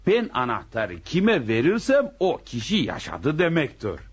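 Husky, animated devout old village uncle. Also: male voice, mid-range voice, measured pace, heavily acted. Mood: folksy, earnest. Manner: folksy, admonishing, peppered with pious phrases. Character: devout old village uncle, earnest, cunning family elder. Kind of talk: radio drama acting. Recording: dull lo-fi radio drama recording.

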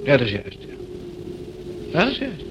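Eccentric young man, very animated, amused, hesitant, musing, vintage radio drama, noisy recording. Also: a little high, moderate pace, highs cut off. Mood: polite, guarded. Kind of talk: radio play acting.

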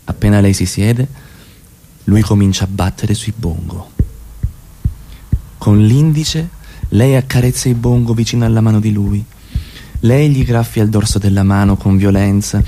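A man's voice: lowish voice, normal speed, dark tone. A man narrating a radio play, reading scripted stage directions.

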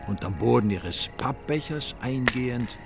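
A man's voice: medium pitch, lively intonation, a little slow, gravelly, music in the background. A man narrating a radio drama in a dry, ironic, literary style. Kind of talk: scripted, wry literary narration.

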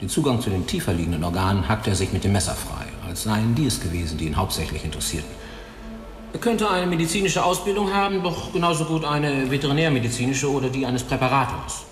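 An actor playing a blunt, cynical coroner. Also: male voice, mid-range voice, expressive, fast talker, echoey room, big-room sound, soft background music, lightly acted. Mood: clinical. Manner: blunt, matter-of-fact, coarse. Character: gruff cynical male coroner, older, blunt. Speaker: coroner in a radio play. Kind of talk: radio drama acting.

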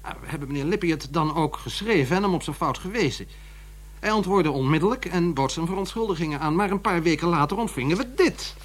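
An actor playing a nervous, fussy but indignant publisher in a radio drama, speaking formally with hesitant stammers. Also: male voice, fairly high pitch, expressive, fairly quick. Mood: exasperated.

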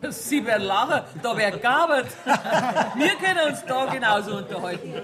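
An actress playing an earthy, bossy, self-assured woman banker with a Bavarian accent in a radio play, brisk and mocking. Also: fairly high pitch, expressive, close to an everyday voice. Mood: dismissive, amused. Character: brash, self-assured woman banker holding court among tavern customers. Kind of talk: radio play acting in dialect. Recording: 1979 radio play, busy crowd behind.

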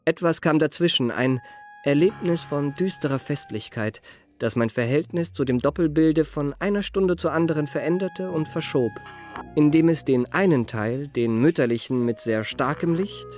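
An actor playing an elegant, eloquent gentleman adventurer telling his memoirs in a refined, ornate manner for a radio drama. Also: fairly high pitch, faint music behind, lightly acted. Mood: grave, ornate. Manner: ornate, measured, refined phrasing. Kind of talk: literary radio drama narration.

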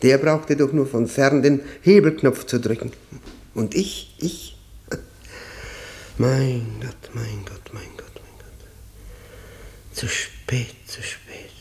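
An actor playing a tormented, bitter man of forty-five, haunted by guilt, halting and brooding in a German radio play. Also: medium pitch, fairly even intonation, darkish tone. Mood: whispered despair.

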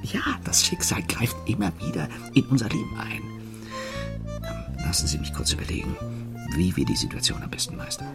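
A low-voiced, suave gentleman thief. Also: big pitch swings, normal speed, vocal fry, over music, exaggerated voice. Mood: scheming. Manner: theatrical, ornately polite, teasing. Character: suave, mocking French gentleman thief, master of disguise. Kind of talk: German radio drama acting.

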